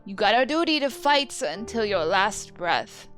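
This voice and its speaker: Woman doing a tough old granny, slow gruff talk, loud and rough. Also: mid-range voice, very animated, faint music behind. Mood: stern tender. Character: old village grandmother, fierce and protective.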